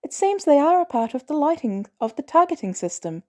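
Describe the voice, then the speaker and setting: A woman voicing a calm, formal female AI lab assistant in an audio story. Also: sits high, pitched above the performer's own voice. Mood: calm, helpful. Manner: measured, formal, helpful.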